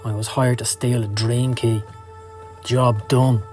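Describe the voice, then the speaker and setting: A man's voice: mid-range voice, little pitch movement, slow, darkish tone. A man giving a dramatic reading of a comic, narrating and voicing the characters. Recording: music under the voice.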